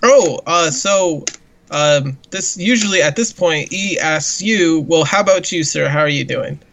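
A man co-hosting a podcast in casual chat, halting and a little mock-grumpy, with other voices behind him. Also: on the high side, vocal fry, fairly bright tone, slight background noise. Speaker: podcast co-host.

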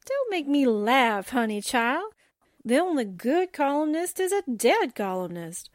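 A woman's voice: sits high, big pitch swings, measured pace, no room sound, studio quality. A woman playing a wisecracking, sardonic newspaperwoman, full of slangy quips, in 1940s radio drama style. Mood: teasing, sardonic. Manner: sassy, slangy exclamations and quips.

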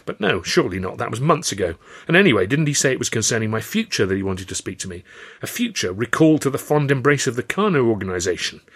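A man's voice: lowish voice, creaky, husky. A man with an English accent reading a scripted story aloud as an audiobook narrator.

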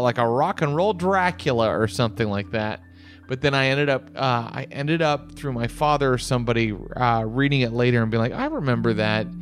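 A man in his thirties, a podcast guest who rambles through memories and keeps correcting himself. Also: mid-range voice. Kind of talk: casual podcast chat.

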